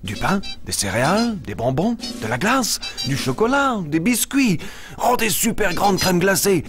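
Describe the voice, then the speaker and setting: Eager, animated young lion storyteller. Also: male voice, high-pitched, moderate pace, music in the background. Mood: excited, craving. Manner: expressive, simple and clear telling. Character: eager young boy lion, cheerful storyteller of Bible tales. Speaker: storyteller of a children's show. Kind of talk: animated storytelling for small children.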